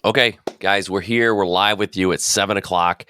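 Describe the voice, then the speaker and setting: A man hosting a podcast who is under the weather, talking in a chatty, energetic way in a live Q&A. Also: low-pitched, normal speed, clear, resonant tone, dry recording, clean studio sound. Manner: energetic, chatty, repeats phrases. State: under the weather, voice deeper than usual. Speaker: podcast host. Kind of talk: casual live Q&A talk.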